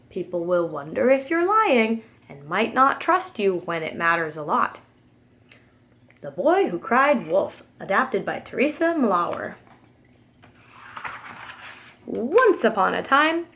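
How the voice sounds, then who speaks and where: High, lively woman reading a storybook. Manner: expressive, addresses young listeners. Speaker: preschool teacher. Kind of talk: storybook reading for young children.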